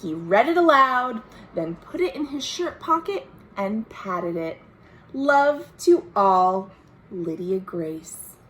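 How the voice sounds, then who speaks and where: A woman's voice: mid-range voice, big pitch swings, slow pace, a bit bright, rough recording. A woman reading aloud a storybook's letters as a shy, eager, affectionate young girl, earnestly and expressively. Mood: fond, eager. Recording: home video with faint background noise.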